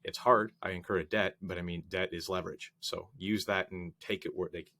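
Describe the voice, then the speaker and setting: A man co-hosting a casual podcast, his voice slightly raspy from a cold, telling stories and joking. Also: deep voice, steady tone, a little fast, sharp tone. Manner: joking, storytelling with analogies. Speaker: podcast co-host.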